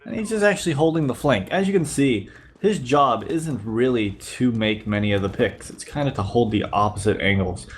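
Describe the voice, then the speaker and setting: A man's voice: mid-range voice, normal speed, few pauses. A sick man with a slightly nasal voice, casually narrating gameplay footage as a channel host. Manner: relaxed play-by-play narration. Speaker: YouTube host reviewing gameplay.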